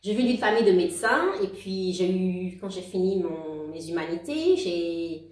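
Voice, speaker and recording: A woman in her forties talks through her life story in a relaxed interview, warm and halting, circling back over her words. She sounds a little distant from the mic, in a roomy space, as on a video call. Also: on the low side. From outside Belgium. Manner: warm, halting, many restarts and laughs. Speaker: guest. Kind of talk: casual interview talk.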